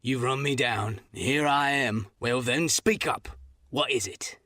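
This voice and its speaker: A man doing a gruff old sea captain. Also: medium pitch, slow pace, bright, forceful tone, dry recording. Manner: gruff, curt, cursing. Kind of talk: audiobook character voice.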